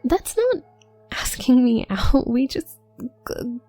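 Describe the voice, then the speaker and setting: A woman playing a shy, awkward young witch student in an audio roleplay, speaking softly and breathily with a nervous, halting stammer. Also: high-pitched, very animated, dry recording, soft background music, full character voice. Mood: dawning realisation, embarrassed. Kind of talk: roleplay audio drama addressed to the listener.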